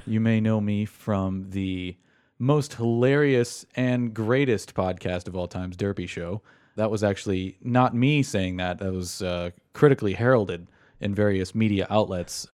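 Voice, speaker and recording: Man, podcast host, slightly low pitch, dry recording, tongue-in-cheek, casual. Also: darkish tone, no echo. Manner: tongue-in-cheek, mock-boastful. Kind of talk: casual chat.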